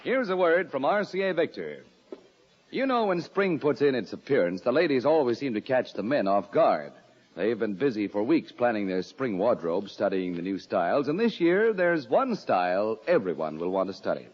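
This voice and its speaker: Lively American radio ad man. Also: mid-range voice, normal speed. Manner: polished salesman's delivery. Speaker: commercial announcer. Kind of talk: scripted 1950s radio advertisement. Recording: dull lo-fi radio, long hall reverb.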